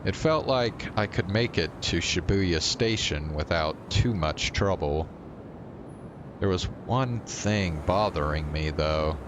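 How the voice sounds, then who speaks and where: Pes sesli, ağır konuşan erkek yayıncı. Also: male voice, low-pitched, takes it slowly, background noise. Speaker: streamer. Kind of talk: live read-aloud narration of a visual novel.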